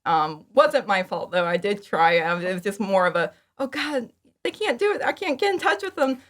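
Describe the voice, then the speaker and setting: A woman's voice: medium pitch, sing-song, normal speed, a bit bright. A woman talking casually and informally as an interview guest, chatty and prone to acting out her own remarks. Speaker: podcast guest, fan audio drama creator.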